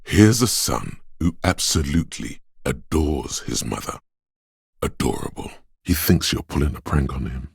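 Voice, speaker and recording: A man voicing a tender, perceptive inner voice of empathy in a video game, speaking in a measured, intimate, dramatic way with a husky, gravelly tone. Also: rumbling low, sing-song, no room sound, clean studio sound, heavily acted. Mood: tender, knowing. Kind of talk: scripted game narration, second-person commentary.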